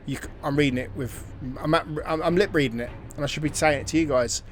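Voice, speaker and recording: A man's voice: on the high side, fast, slight background noise. Man thinking aloud over gameplay. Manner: musing, thinks aloud, drops to near-whispers at times. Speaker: gameplay commentator. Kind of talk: casual let's-play commentary.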